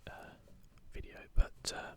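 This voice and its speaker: Hushed, whispered ASMR host. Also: slow pace. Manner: whispering throughout. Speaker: video host.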